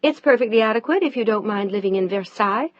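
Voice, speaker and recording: A woman voicing a fierce, upset wife, loud, sharp and near tears. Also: medium pitch, expressive, quick pace, no echo, lo-fi sound, lightly acted. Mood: angry.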